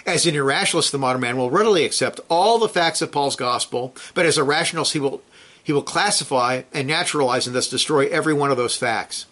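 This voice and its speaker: A man's voice: mid-range voice, fast talker, pushed and bright. A man who teaches a weekly Bible study, reading a theology text aloud with emphasis, then commenting with frequent restarts.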